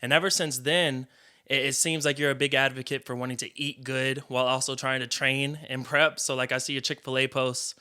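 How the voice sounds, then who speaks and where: A man's voice: mid-range voice, flat delivery, brisk, pushed and bright, clear, resonant tone. An American man hosting a casual interview, talking in an informal, conversational way. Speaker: interviewer, host.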